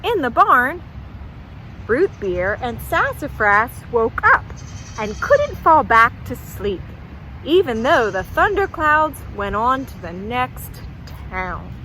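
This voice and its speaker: A woman telling a folktale at a children's storytime, pausing to ask young listeners questions. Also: on the high side, sing-song, sharp tone, slight background noise, rough recording.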